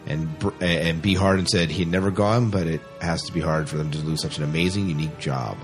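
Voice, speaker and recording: A man hosting a podcast in casual chat, speaking in a conversational, slightly halting and repetitive way. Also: a bass voice, steady tone, music in the background. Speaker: podcast host.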